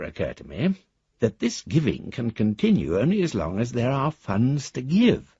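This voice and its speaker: Urbane roguish father, middle-aged, low voice, wildly varied intonation, florid phrasing, radio drama. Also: a little mellow, breathy edge, no echo, muffled recording, heavily acted. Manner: urbane, florid, theatrical delivery. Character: roguish, affectionate, well-spoken father writing secret letters. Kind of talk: radio drama letter reading.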